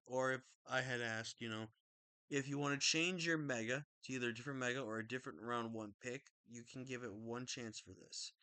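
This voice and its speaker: Rambly excitable young man. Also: medium pitch, smooth, no room sound. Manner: rambling, self-correcting, excitable. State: slightly raspy throat. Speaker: draft league player. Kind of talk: casual video commentary.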